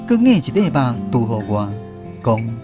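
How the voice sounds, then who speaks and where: A man's voice: mid-range voice, very animated, moderate pace. Taiwanese guy narrating a radio drama, reflective storytelling, really varied tone, music behind him. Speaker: radio drama narrator playing a grown son recalling his father.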